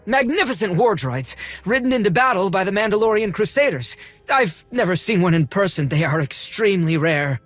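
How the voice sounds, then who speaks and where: A man's voice: high voice, lively intonation, a little fast, fairly bright tone, dry recording, faint music behind. A man playing a fussy, pompous and nervous collector of rare droids in a theatrical video game style. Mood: eager, boastful. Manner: pompous, theatrical, flustered under pressure. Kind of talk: video game cutscene acting.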